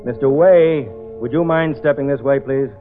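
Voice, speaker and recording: An actor playing a brisk, businesslike police detective with a curt, probing manner in a vintage radio drama. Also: male voice, medium pitch, close to an everyday voice. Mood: official, dry. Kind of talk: 1940s-50s radio drama acting. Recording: tinny old radio recording, faint music.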